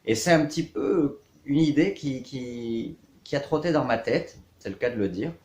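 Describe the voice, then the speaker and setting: A man in his thirties from south-east France, a riding coach, introducing himself in measured, hesitant short phrases for an online course. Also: mid-range voice, darkish tone. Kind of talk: scripted-outline self-introduction to camera for an online course. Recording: echoey, reverberant room.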